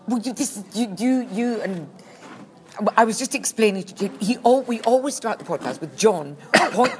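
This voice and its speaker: A woman's voice: fairly high pitch, lively intonation, normal speed, a little hiss or hum. A woman co-hosting a podcast in a shop, blunt, grumpy and sardonic, recorded on a phone. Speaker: podcast co-host. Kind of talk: bickering casual podcast chat. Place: busy charity shop. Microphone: phone held up by co-host.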